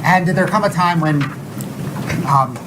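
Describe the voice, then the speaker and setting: Male lawyer asking witness questions, formal, kinda high voice, noisy court recording. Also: measured pace. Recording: noisy courtroom audio played back.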